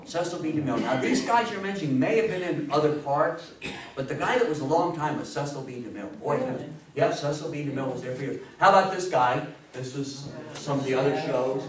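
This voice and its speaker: A man in his sixties giving an animated, informal talk to an audience, exclaiming and asking them questions with enthusiasm. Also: a little high, a little fast, crowd noise. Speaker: lecturer. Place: indoor meeting room before a seated audience. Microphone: distant camera mic. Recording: echoey room, distant mic, audience noise.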